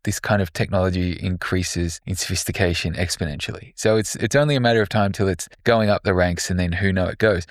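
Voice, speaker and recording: Male podcast host, very low voice, reflective and wandering, dry close mic. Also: no room sound. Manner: reflective, long wandering questions with restarts. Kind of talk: casual interview talk.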